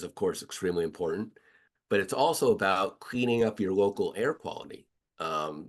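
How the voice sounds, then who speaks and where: A man presenting a webinar to customers, talking in a halting way with many uhs and restarts. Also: deep voice. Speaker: company head presenting to customers.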